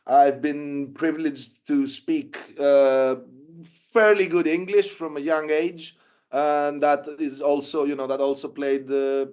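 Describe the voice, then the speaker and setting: Greek-accented male guest, a little high-voiced, thinks aloud with many pauses and restarts. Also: darkish tone, clear, resonant tone. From Greece. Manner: hesitant, many fillers and restarts. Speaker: guest filmmaker. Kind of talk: interview answer, casual.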